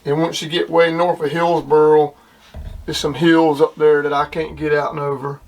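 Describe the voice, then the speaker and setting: Southern man, higher-pitched, noisy recording. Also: little pitch movement, moderate pace. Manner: plain-spoken, uses CB lingo. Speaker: CB radio operator and video host. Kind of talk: casual CB radio chat and commentary. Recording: clearly noisy, with radio hiss and clicks. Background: radio noise and clicks.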